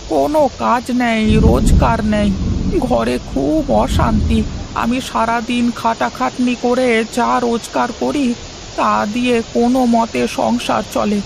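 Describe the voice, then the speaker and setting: A woman voicing a poor, kind-hearted working father in an animated moral tale, speaking earnestly and colloquially. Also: a little high, vocal fry, background noise. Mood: downcast, pleading.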